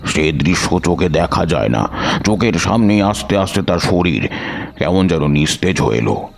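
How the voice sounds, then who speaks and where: A man narrating a suspense story in a measured, literary and dramatic manner. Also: deep voice, lively intonation, gravelly. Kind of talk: dramatic scripted first-person story narration. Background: background music.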